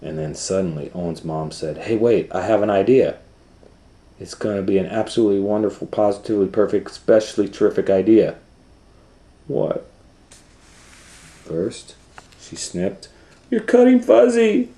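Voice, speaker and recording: A middle-aged man reading a picture book aloud for children, dropping to whispers and rising to loud excited voices for the story. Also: on the low side, normal speed, long pauses, reverberant. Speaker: storytime reader.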